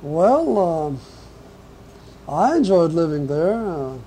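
Older man with a high, very dark voice. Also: big pitch swings, slight background noise. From Portugal. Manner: halting, reflective, slow recall. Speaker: interviewee. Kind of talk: oral history reminiscence.

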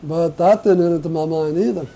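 An older man from Portugal, reminiscing in an oral history interview, who talks in a halting, reflective way as he searches his memory. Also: on the high side, vocal fry, warm tone. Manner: halting, reflective, slow recall.

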